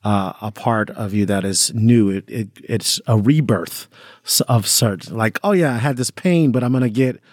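A man guesting on a comedy podcast, musing in a halting, deadpan way. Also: fairly low pitch, no echo, studio quality. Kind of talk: casual comedy podcast chat.

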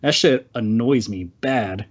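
A man's voice: mid-range voice, slow pace, breathy edge, muffled recording. A man giving casual, blunt opinions on a podcast panel with slang and plenty of swearing.

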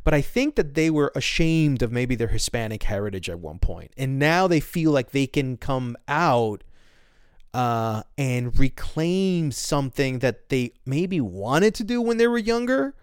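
Animated male podcast host, clear voice. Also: medium pitch, a little slow, no echo, studio quality. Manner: animated, acts out quoted viewpoints. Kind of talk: casual podcast chat.

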